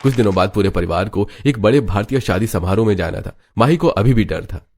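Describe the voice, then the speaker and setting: A man reading scripted narration for an animated family drama story as the storyteller. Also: lowish voice, a little fast, slight background noise.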